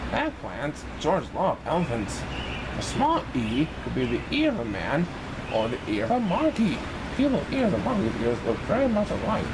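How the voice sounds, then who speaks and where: A man reading a children's picture book aloud in an expressive, sing-song way for young listeners. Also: a little high, noisy background. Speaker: storybook reader in a banana persona.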